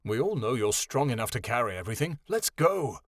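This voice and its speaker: A man's voice: mid-range voice, very animated, a little fast. A man voicing a grouchy, distrustful hoofed creature, a weary ex-soldier who growls and snaps reluctantly in a fantasy story. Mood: irritated, snarling.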